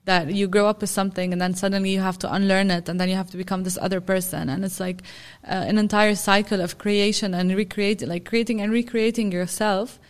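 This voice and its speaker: Podcast guest, woman, measured and reflective, medium pitch. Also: steady tone. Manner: thoughtful, hesitant, frequent fillers. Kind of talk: casual interview chat.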